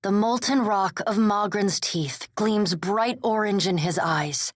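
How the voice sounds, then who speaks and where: A woman playing a solemn storyteller, reading scripted video game narration in a measured, grave, descriptive manner. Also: medium pitch, no echo, studio quality. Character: literary female narrator, solemn, observant storyteller.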